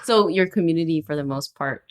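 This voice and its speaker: Female podcast host, very low voice, easygoing and chatty. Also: fairly quick. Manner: relaxed, laughs often, many fillers. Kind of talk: casual interview chat.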